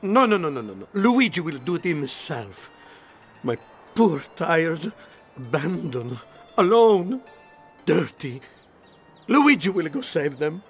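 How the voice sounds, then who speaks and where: Cartoon game role: Italian tire-seller car, high-voiced, unhurried, sentimental and theatrical. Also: male voice, sing-song, faint music behind, heavily acted. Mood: distraught. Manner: melodramatic, drawn-out phrasing. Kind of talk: animated game voice acting.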